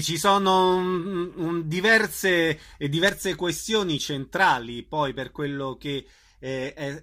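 Bright-voiced male radio host. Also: on the high side. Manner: conversational, prompting questions. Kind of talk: radio interview.

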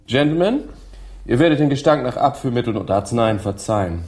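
An actor in a radio drama playing a formal, wry gentleman doctor. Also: medium pitch, animated, brisk, music in the background, close to an everyday voice. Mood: wry, ceremonious.

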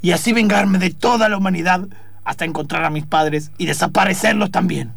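Man acting vengeful villain, raspy, high-pitched, whiny, aggrieved, comic radio play. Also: fairly quick, fairly bright tone, no echo, exaggerated voice. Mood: tearfully bitter. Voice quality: raspy, strained. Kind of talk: improvised radio comedy acting.

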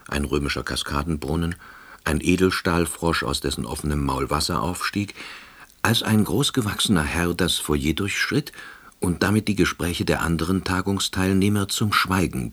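A man's voice: a bass voice, a bit bright, breathy edge, full character voice. An actor playing a wry, pedantic middle-aged man who narrates his own story in a radio drama, dry and officious. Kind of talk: scripted first-person radio drama narration.

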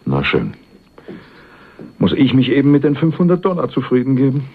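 An actor playing a flirtatious, pushy courier in a German radio crime drama, wry and given to small hesitant stammers. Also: male voice, mid-range voice, huge pitch swings, normal speed, breathy edge, exaggerated voice. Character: flirtatious, pushy male courier passing through town. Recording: muffled phone-like old radio drama, faint noise.

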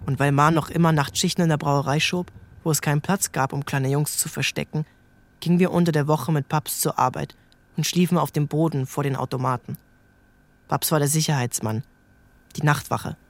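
A man narrating a literary story in the first person, as a scripted reading for a radio drama. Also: on the high side.

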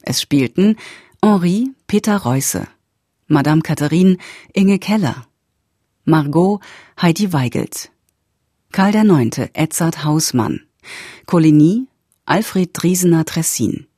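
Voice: mid-range voice, expressive, slow pace, pauses often, warm tone, slightly rough, no echo, clean studio sound. A radio announcer reading titles and credits in a formal, scripted style.

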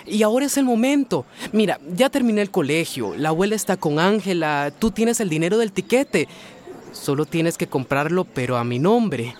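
Un actor que interpreta en una radionovela a un hijo adolescente, entusiasta, que ruega con titubeos. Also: male voice, high-pitched, lively intonation, moderate pace, a little mellow. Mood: pleading. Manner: hesitant, pleading, repeats himself. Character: eager teenage son about to finish school. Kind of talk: radio drama acting.

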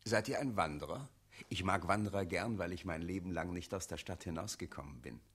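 Older father, low husky voice, genial, resigned, matter-of-fact, radio play. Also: brisk, fairly bright tone. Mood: placid, resigned. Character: placid, hospitable older father, a resigned townsman.

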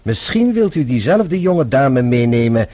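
An actor playing a shrewd French police inspector in a radio drama, formal, measured and politely probing. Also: male voice, mid-range voice, sing-song, dark tone. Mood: businesslike.

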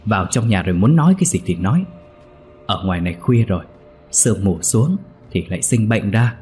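A man's voice: fairly low pitch, expressive, a little fast, a little mellow, faint music behind, lightly acted. A man voicing an anxious, caring older village husband in a horror audio story. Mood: worried scolding.

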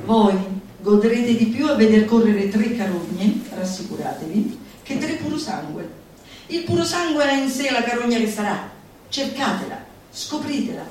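Echoey, high-voiced woman declaiming theatrically. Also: lively intonation, moderate pace, darkish tone. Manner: declamatory, exhortatory, with mock-solemn emphasis. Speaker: stage performer. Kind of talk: theatrical recitation of a satirical manifesto. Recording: very echoey room, distant mic, faint noise.

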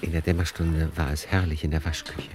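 Low-voiced male radio play narrator. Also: level tone, a little fast, noisy background. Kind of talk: scripted literary storytelling narration.

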